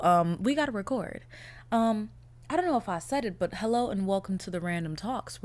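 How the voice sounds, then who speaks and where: A young woman hosting her own podcast, chatting casually in a blunt, profane, animated way, her voice a little raspy from a cold. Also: on the high side. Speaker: podcast host. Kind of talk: casual solo podcast chat.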